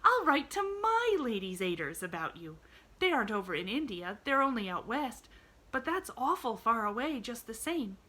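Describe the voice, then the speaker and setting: A woman voicing an eager, cheerful young girl who talks in breathless rushes. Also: a little high, very animated, pitched above the performer's own voice, full character voice. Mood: excited. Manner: bright, breathless and chattering.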